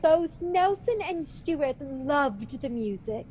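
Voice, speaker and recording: A woman's voice: high-pitched, expressive, slow, a little mellow, a little hiss or hum. A woman playing a genteel, coyly pleading widow in an old-time style radio mystery, hesitant and theatrical. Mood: wistful, faltering. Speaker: actor in audio drama.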